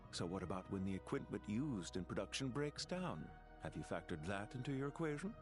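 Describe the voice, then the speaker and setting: Sardonic game politician, male actor, mid-pitched with swooping emphasis, pointed and condescending in debate. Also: close to an everyday voice.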